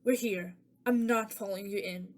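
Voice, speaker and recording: An actress playing a frightened but defiant informant in an audio drama, snapping and yelling. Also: female voice, medium pitch, steady tone, normal speed, lightly acted. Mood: sullen. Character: scared, defiant female street informant.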